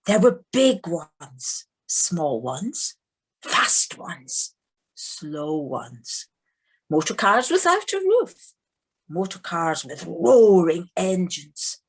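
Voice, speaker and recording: A woman's voice: lowish voice, big pitch swings, extremely slow, husky. A woman narrating an audio play as a storyteller, theatrical, with emphasis on key words. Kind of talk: scripted dramatic storytelling. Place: living room, recorded over video call.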